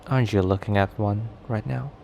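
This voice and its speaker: A man playing a cocky, protective young werewolf stranger in an intimate ASMR roleplay, talking in a teasing, halting conversational way. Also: lowish voice, fairly even intonation, a little mellow, a little hiss or hum. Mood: sly, teasing. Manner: teasing, breathy sighs and chuckles, halting conversational delivery. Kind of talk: ASMR roleplay acting, intimate one-sided dialogue.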